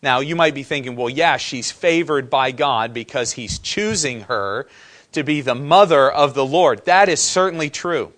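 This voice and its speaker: A man's voice: mid-range voice, normal speed, a bit bright. A man from preaching a sermon, often putting questions to the congregation. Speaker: pastor.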